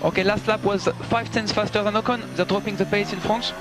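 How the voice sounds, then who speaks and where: A man's voice: sits high, fairly even intonation, a little fast. A male race engineer giving terse instructions over team radio, clipped and repeating key commands. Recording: motorsport radio with loud background sound.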